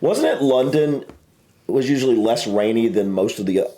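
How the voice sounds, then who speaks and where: A man's voice: mid-range voice, animated, moderate pace, dark tone. An American man hosting a casual reaction video, rambling and hesitant, his voice giving out. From United States. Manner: rambling, hesitant, lots of fillers. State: losing his voice.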